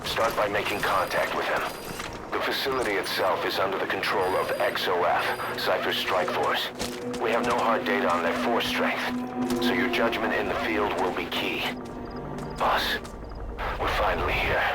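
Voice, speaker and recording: An actor playing a grim, embittered military commander, giving a terse, grave mission briefing in a video game. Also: male voice, sits low, moderate pace, loud music behind the voice, natural voice. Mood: grim resolve. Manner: terse, grave, emphatic delivery. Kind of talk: dramatic scripted mission briefing.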